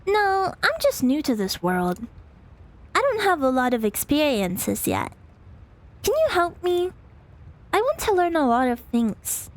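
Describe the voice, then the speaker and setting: A woman's voice: wildly swooping pitch, measured pace, pauses often, exaggerated voice. A woman voicing a small, naive, eager ooze monster girl from a visual novel in a wobbly, cute voice. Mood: eager, pleading. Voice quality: wobbly, high-pitched.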